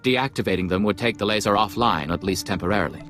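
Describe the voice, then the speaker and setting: A man plays a serious doctor of science in a game cutscene. The voice is on the low side, exact and urgent in its instructions. Soft music lies behind it. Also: fast talker, natural voice. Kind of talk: video game cutscene acting.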